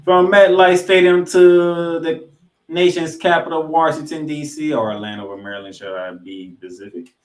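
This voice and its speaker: A man's voice: on the high side, normal speed. A man co-hosting a sports podcast, giving football recaps with emphatic, announcer-like delivery of scores and stats mixed with casual banter.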